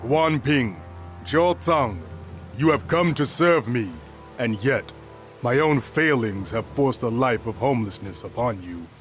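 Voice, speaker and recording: An actor playing a noble, loyal warlord in a video game cutscene, speaking with solemn formality. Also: male voice, medium pitch, expressive, measured pace, close to an everyday voice. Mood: apologetic. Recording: game audio with loud music.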